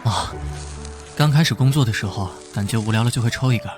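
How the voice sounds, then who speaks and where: A man's voice: mid-range voice, fast, lightly acted. A man playing an earnest, caring young male detective and protective older brother in an animation dub. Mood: casual, puzzled. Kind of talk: Mandarin animation dub acting with inner monologue. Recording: background music under the voice.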